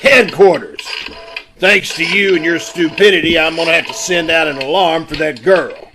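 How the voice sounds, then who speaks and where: A man's voice: fairly high pitch, moderate pace, noisy background, natural voice. A man playing a blustering, sarcastic police inspector in 1940s radio detective drama style. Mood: brusque, bossy. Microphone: video call.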